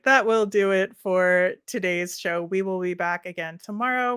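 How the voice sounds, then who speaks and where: Lower-pitched woman, casual sports co-host. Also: a bit bright, clean tone, dry recording, studio quality.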